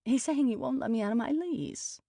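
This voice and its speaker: A woman puts on an agitated woman shopkeeper for an audiobook. Her voice is whiny and lifted high, with a petulant, complaining delivery. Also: very animated, normal speed, warm tone, no echo, studio quality. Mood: whiny, irate. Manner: petulant, pitch climbing in complaint. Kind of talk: audiobook character acting.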